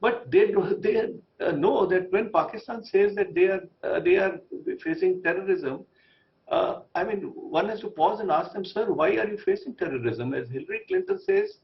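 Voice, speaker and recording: A man with an Indian accent giving formal, deliberate commentary as a diplomat on a debate panel, his voice gravelly. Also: high voice, sing-song. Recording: dull lo-fi broadcast audio.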